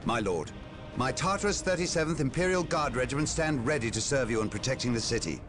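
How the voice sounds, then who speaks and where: A man's voice: mid-range voice, animated, quick pace, natural voice. An actor playing a deferential imperial guard colonel in a game cutscene, addressing his superiors respectfully. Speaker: game character. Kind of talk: video game cutscene acting. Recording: game cutscene with music underneath.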